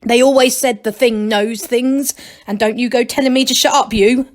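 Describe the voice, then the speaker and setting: A woman's voice: on the high side, fairly even intonation, moderate pace, close to an everyday voice. A woman voicing a gloating gnome doom-monger in a children's storybook, breathless and scolding. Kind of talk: children's storybook read aloud.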